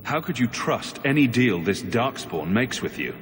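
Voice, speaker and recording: Guarded young heroic mage, male actor, fantasy game. Mid-pitched, direct and questioning, with long reverb. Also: big pitch swings, normal speed, slight background noise, close to an everyday voice. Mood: wary, questioning. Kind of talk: dramatic fantasy game acting. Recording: long reverb, clear music behind.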